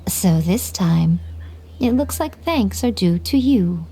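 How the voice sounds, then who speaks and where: A sly, eloquent kitsune shrine maiden. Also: female voice, medium pitch, animated, warm tone, slightly rough, full character voice. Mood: explaining. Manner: wry, measured, eloquent. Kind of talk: scripted video game dialogue. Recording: background music under dialogue.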